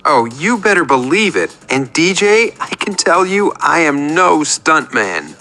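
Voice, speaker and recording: Comic radio play: male actor as earnest, self-deprecating caller, rambling and apologetic, lively swooping pitch, slightly high. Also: measured pace, faint music behind, full character voice.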